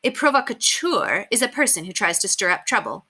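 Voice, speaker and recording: A woman reading a children's book aloud as an expressive, emphatic storyteller. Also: medium pitch, a little fast, husky. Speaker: read-aloud reader.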